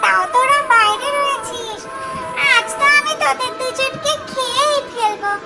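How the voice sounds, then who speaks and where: A woman's voice: very high-pitched, moderate pace, loud music behind the voice, voice pushed up. A woman voicing a menacing witch in a cartoon story, gloating and threatening. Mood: gloating menace. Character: menacing female witch gloating over prey.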